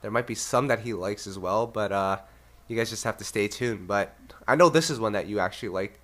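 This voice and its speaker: A man's voice: fairly low pitch, a little fast, fairly bright tone. A man with a nasal, congested voice hosting a casual music podcast. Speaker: podcast host.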